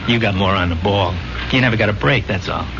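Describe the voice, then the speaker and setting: An actor in a 1970s radio drama playing a weary, haunted war buddy back from the dead, speaking plainly in halting phrases. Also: male voice, fairly low pitch, creaky, background noise. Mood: shaken, earnest. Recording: dull old radio recording with music.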